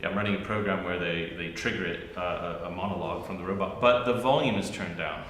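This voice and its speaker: A man's voice: low voice, brisk, a bit bright, a little hiss or hum. A man speaking on an academic panel, thinking aloud with many restarts and fillers. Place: university arts centre hall. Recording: roomy hall with long reverb.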